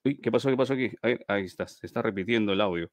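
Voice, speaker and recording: A man's voice: fairly low pitch, rapid-fire, no room sound. A middle-aged man hosts a live stream giveaway from a small room on a webcam, chatting, repeating himself and reading out viewers' names.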